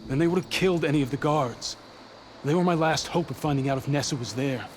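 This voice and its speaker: A man's voice: fairly high pitch, fast talker, slight background noise, natural voice. An actor playing an earnest, guilt-worn male fugitive in a naturalistic video game performance, somber in manner. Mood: somber, hopeful.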